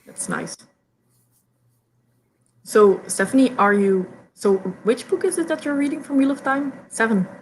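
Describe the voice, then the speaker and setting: A young woman from Belgium whose first language is Dutch, hosting a casual reading chat over a video call, chatty and quick to stumble over her first words. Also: high voice, lively intonation, slight background noise, low-quality recording. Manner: chatty, repeats words when starting sentences, laughs often. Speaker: host of reading sprints. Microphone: video call mic.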